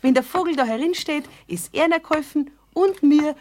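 A woman's voice: high-pitched, animated, normal speed, noisy background. An actress playing a persistent, nosy, good-natured neighbour woman with a Bavarian accent in a children's radio play. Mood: insistent. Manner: chatty, insistent.

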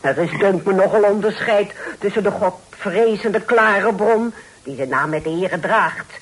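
Husky, preachy, pious old aunt. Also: female voice, medium pitch, a little slow, rough recording. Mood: stern, probing. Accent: Netherlands Dutch. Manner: sanctimonious, declaiming in biblical phrases. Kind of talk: Dutch radio drama acting.

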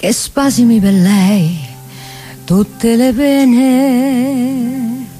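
Female singer, Roman accent, very drawn-out delivery, dialect love songs with prominent guitar behind. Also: a little high, fairly even intonation, mellow. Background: guitar accompaniment.

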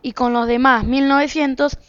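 A woman's voice: sits high, steady tone, moderate pace, smooth, close to an everyday voice. A woman playing an easygoing husband and father in an amateur radio drama. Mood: matter-of-fact. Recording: muffled, noisy lo-fi home recording.

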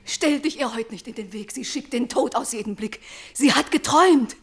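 An actress playing a frightened young sister in a theatrical radio tragedy, imploring with urgent, anxious declamation. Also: female voice, fairly high pitch, moderate pace, close to an everyday voice.

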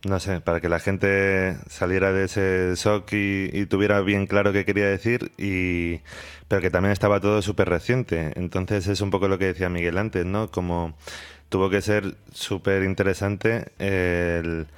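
A male radio interviewer with an accent from Spain speaks in a low, very clear voice. He is informal and thinks aloud, pausing often as he builds his questions. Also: level tone. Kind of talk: casual interview talk.

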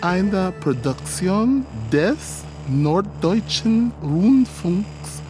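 A woman's voice: medium pitch, sing-song, very slow, mellow, rough recording. A woman reading out the scripted credits of a radio play as its announcer. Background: music.

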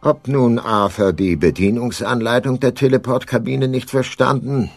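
A man narrating a comic science-fiction radio play in a measured, dryly ironic, literary manner. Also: mid-range voice, vocal fry, dry recording.

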